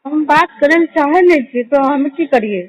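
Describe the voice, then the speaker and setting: A woman's voice: high voice, moderate pace. A young woman in a personal phone conversation. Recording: muffled phone call recording.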